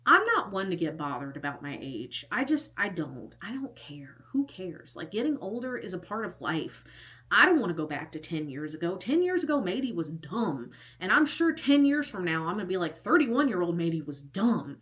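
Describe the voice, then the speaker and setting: Chatty woman in her thirties, slightly low. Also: big pitch swings, moderate pace, a bit bright. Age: 30s. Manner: chatty and rambling, heavy use of "like", with whispered asides. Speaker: storytime vlogger. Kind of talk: casual storytime chat.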